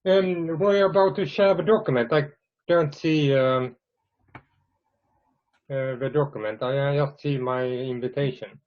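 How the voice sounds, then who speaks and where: A man's voice: a little high, steady tone, measured pace. A man speaking casually as a participant in an online work meeting, with lo-fi call audio. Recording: dull lo-fi conference call audio.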